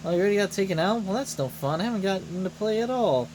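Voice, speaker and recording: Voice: medium pitch, animated, quick pace, a little mellow, slight background noise, natural voice. Performer putting on a playful, childish giant girl. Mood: sleepy, bored. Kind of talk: voiced game dialogue. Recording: music behind the voice.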